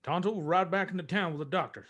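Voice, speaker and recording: A man playing a steady, commanding masked cowboy hero in an old-time western radio drama. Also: high-pitched, quick pace. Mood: concerned, decisive.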